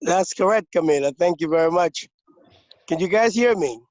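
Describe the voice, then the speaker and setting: A man from Liberia speaking up in a webinar, making a long, halting comment full of restarts and repeated words over a video call. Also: high voice, darkish tone, no echo. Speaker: webinar participant. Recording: dull, lo-fi video call audio.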